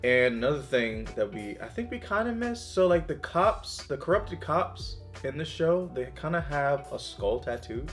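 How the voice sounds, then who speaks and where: A man's voice: fairly high pitch, very animated, normal speed, hardly any pauses, fairly bright tone, soft background music. A man hosting a reaction show, chatting casually as he gives his thoughts.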